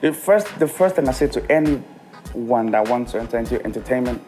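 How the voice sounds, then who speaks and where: A man's voice: medium pitch, lively intonation, normal speed, dark tone, soft background music. A man in his thirties raised in England touched by speech, chatting as a guest and laughing often. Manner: laughs often, mimics others' voices. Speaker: guest musician. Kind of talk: casual chat.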